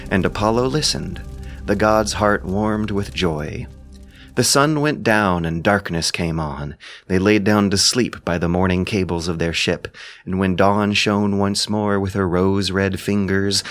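A man giving scripted dramatic narration of an ancient epic, in measured, solemn storytelling style. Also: deep voice.

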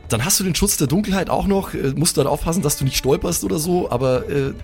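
A man's voice: fairly high pitch, fast, vocal fry, darkish tone. A young man improvising in a role-play, chatting casually in slang sprinkled with English words. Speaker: player in a pen and paper podcast. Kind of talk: improvised in-character casual chat. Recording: faint music bed behind the voice. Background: faint background music.

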